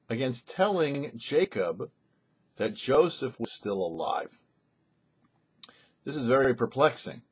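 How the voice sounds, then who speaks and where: A man, a rabbi, giving a weekly Torah commentary in a thoughtful, explanatory teaching manner with pauses. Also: medium pitch, breathy edge, dull audio. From United States. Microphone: studio mic.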